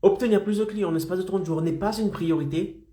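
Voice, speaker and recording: A man's voice: high-pitched, a little fast, dark tone, roomy sound. A man with a slight head cold, coaching freelancers in a motivational live stream and keeping his audience engaged with frequent check-ins. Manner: insistent, keeps checking in with "d'accord?" and "ok?".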